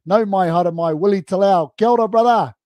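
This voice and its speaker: Upbeat New Zealand radio host, high mellow voice, dry close sound. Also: male voice, fairly quick, no room sound. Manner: upbeat, mixes te reo Māori greetings into English. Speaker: radio sports show host.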